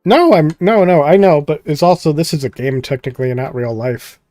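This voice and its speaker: Rambling, jokey man, slightly high dry voice. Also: animated, fairly quick, warm tone, dry recording, faint music behind. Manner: rambling, jokey, skims text with filler words. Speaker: host playing a visual novel. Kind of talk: casual gameplay commentary, reading dialogue aloud.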